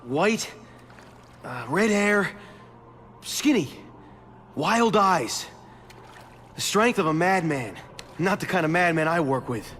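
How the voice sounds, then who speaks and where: A man's voice: fairly high pitch, expressive, slow pace, long pauses, fairly bright tone, slight background noise. An actor playing a nervous male bystander wrongly accused of murder in a video game, stammering and pleading. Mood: eager. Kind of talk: video game cutscene acting.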